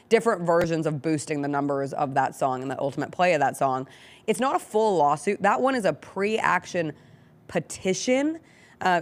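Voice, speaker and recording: An American woman hosting a talk show, giving conversational commentary. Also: low voice. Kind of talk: conversational sports and culture commentary.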